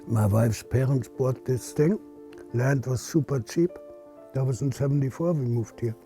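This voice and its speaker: An elderly man in his eighties with a German accent and a creaky voice, talking casually in a blunt, playful way. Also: medium pitch, normal speed, mellow, soft background music. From Silesia, Central Europe. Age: 80s. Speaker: puppeteer and theater founder. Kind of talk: casual interview storytelling.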